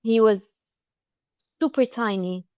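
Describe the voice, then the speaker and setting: A woman's voice: fairly high pitch, slow pace, darkish tone, smooth, no room sound, studio quality. A woman from Egypt with an Egyptian accent, a podcast guest speaking in casual interview chat with frequent restarts and fillers.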